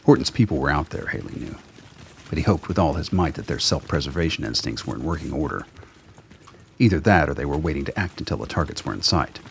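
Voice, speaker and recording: A man's voice: a bass voice, expressive, fast talker, gravelly, slight background noise. A man reading scripted narration for a radio drama.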